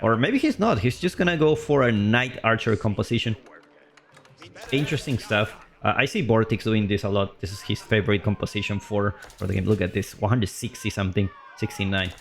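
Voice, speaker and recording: A man streaming live commentary on a strategy game match. He is chatty and loose, and his voice leaps up on big plays before settling back to calm explaining. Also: a little fast. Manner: excitable, voice jumps high on big plays, then calm explaining; loose and chatty, with fillers and restarts.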